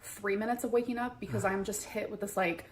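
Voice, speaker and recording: A woman's voice: medium pitch, fairly even intonation, fairly quick, a little hiss or hum. A woman vlogger chatting casually to her viewers and answering their questions.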